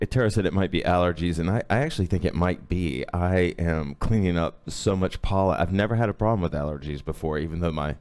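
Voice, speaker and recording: A man in his thirties teaching a live-streamed hoop dance class from his home, sick with a lowered voice, rambling with many fillers. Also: darkish tone. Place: home room indoors. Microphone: webcam at a few feet.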